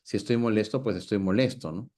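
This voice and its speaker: A man's voice: fairly low pitch, a little fast, a little mellow. A man, hosting a talk and reflecting thoughtfully with many fillers. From Peru. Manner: reflective, asks the guest's view, many fillers. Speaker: host. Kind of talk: casual conversational talk.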